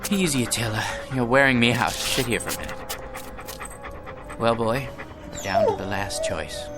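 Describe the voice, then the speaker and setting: An actor playing a weary, generous and wistful millionaire in a radio drama. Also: male voice, medium pitch, wildly swooping pitch, moderate pace. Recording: music under the dialogue.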